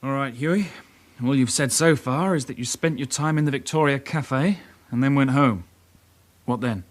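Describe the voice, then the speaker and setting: An actor playing a persistent, matter-of-fact detective in a radio drama. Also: male voice, mid-range voice, normal speed, close to an everyday voice. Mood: pressing.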